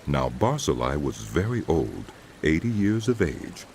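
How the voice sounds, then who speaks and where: A man narrating a dramatized Bible as a scripted, measured storyteller. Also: a bass voice, very animated, darkish tone, a little hiss or hum.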